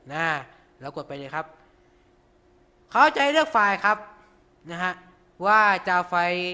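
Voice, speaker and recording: A man's voice: high-pitched, sharp tone, clean tone. A man hosting an informal tutorial, talking through each step with frequent polite particles. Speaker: radio show host.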